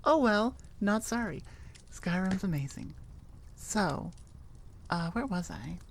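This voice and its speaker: A woman's voice: medium pitch, sing-song, takes it slowly, a little hiss or hum. A woman chatting casually and informally as an audio creator, rambling with enthusiasm. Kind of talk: casual rambling outro chat.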